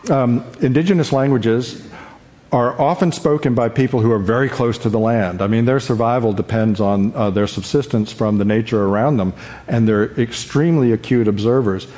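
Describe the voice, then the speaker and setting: A man speaking unscripted as a journalist on a panel, in a halting, hesitant way. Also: mid-range voice, mellow. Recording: echoey hall reverb.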